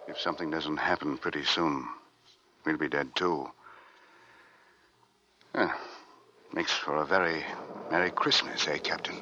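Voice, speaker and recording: An actor playing a weary 1850s ship's officer, narrating a doomed Arctic expedition with grave drama in an old-time radio play. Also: male voice, a bass voice, fairly even intonation, measured pace, pauses often, a little hiss or hum, heavily acted. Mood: bleak, resigned. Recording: muffled vintage radio recording, loud music.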